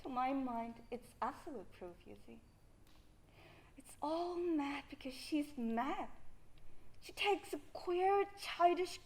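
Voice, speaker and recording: An actress playing an intense, insistent young woman in a stage play, with an earnest, emphatic delivery. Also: sits high. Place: stage performance.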